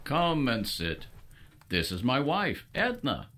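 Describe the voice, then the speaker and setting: A man playing a devout, hospitable father of biblical times in an audio drama, speaking in a formal, expressive way. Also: mid-range voice, slow, heavily acted. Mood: genial. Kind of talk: full-cast audio drama acting.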